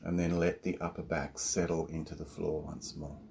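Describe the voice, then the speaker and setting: A man leading a guided relaxation as a yoga nidra teacher, speaking in a calm, soothing way with long pauses between instructions. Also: very deep voice, vocal fry, slight background noise.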